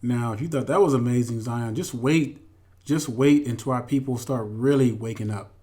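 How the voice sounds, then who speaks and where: A man's voice: medium pitch, normal speed, warm tone. A man hosting a religious teaching commentary, speaking emphatically and directly to his followers with repeated phrases. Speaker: host.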